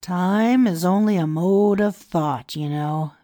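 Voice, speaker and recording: A pompous, scheming Egyptian priest who speaks slowly and with grand ceremony. A woman voices him in a lowish, unhurried voice. The recording is very dry and close. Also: mellow, no room sound. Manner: grand, confiding, measured. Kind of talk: audiobook dialogue reading.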